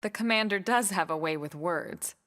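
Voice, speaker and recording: A woman's voice: fairly low pitch, normal speed, lightly acted. A woman playing a cool, capable military team leader in a video game cutscene, composed, dry and matter-of-fact. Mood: dry, wry. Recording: very loud music behind the voice.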